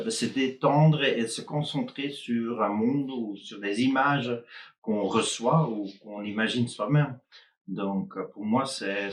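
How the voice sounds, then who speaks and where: A man whose first language is Dutch, speaking with a Flemish accent as a hypnotist in a casual live conversation, pausing and restarting often. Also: mid-range voice, reverberant. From Flanders, Belgium. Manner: hesitant, many pauses and restarts.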